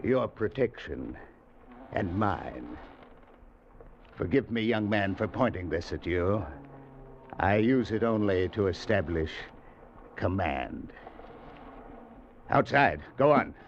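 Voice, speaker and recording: Sneering convict mastermind, slow drawl, hissy dull old broadcast. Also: male voice, lowish voice, very animated, heavily acted. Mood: mocking. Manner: slow, silky, sneering menace. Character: smug, pompous male escaped-convict ringleader. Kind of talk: 1940s radio acting.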